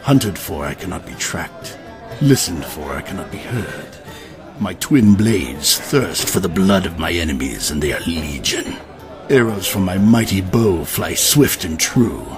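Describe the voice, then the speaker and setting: Over a music bed comes a brooding ranger, pitched low and full of himself. He declaims in grandiose, menacing boasts, as a comic fantasy audio drama hero would. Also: expressive, measured pace. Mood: boastful, brooding. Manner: grandiose, self-important. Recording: music behind the voice.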